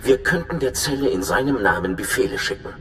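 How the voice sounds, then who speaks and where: Ein Schauspieler als ernster, berechnender Informant und Spion in einem Videospiel, der eindringlich erklärt. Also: male voice, mid-range voice, sing-song, fairly quick, fairly bright tone. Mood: scheming. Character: earnest, scheming male spy informant. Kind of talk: dramatic video game dialogue in German. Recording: echoey, noisy hologram transmission.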